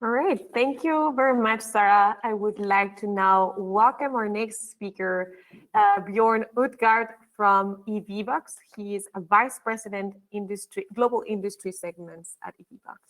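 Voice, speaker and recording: A woman's voice: medium pitch, a little slow, a bit bright, rough recording. A woman moderating an online conference session, politely introducing speakers and confirming their screens. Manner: polite, reassuring.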